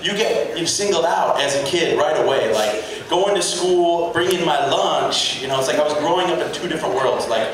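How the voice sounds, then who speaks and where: A young man from Chicago with a Chicago accent, performing an animated stand-up comedy set in a club. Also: normal speed, few pauses. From Chicago, United States. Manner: animated, jumps into high-pitched shouted voices for characters. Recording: roomy, distant camera recording with loud crowd. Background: audience laughter.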